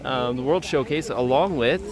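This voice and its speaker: A man with a slightly hoarse voice chats casually to viewers and friends as he hosts a live stream. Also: mid-range voice, normal speed, a crowd in the background. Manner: chatty, addresses viewers and friends. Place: outdoors at a busy theme park.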